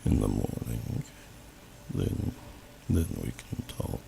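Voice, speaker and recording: Gentle old dragon, very gravelly and slow, ASMR fantasy roleplay, noisy home recording. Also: male voice, lively intonation, exaggerated voice. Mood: calm, musing. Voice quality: very deep, gravelly, breathy growl. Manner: slow, pensive, archaic formal phrasing. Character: gentle, wise old male dragon, welcoming host.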